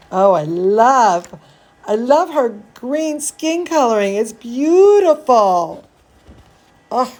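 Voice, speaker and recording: Expressive, slow-paced woman reading a bedtime story. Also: a little high. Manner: gushing, expressive, talks to young children. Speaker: dance teacher hosting a children's story time. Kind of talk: read-aloud picture book storytelling with asides to children.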